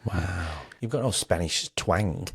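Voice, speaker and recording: Low-voiced English podcast host. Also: male voice, slow, creaky, breathy edge, dry recording, clean studio sound. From Britain. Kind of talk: casual conversational interview.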